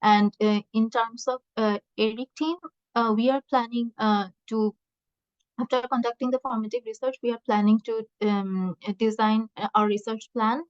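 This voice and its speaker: A woman researcher answering questions in an online meeting, speaking haltingly with many hesitant pauses. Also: a little high, steady tone, dry recording. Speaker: researcher presenting a project. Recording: muffled lo-fi online call audio.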